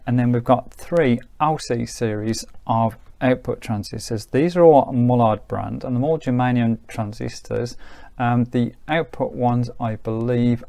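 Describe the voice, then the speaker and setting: A man talks through a hands-on review at an ordinary mid pitch. His delivery is informal and chatty, wandering a little and circling back to correct his own wording. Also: vocal fry, a little mellow, no echo. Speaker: presenter of a vintage radio review.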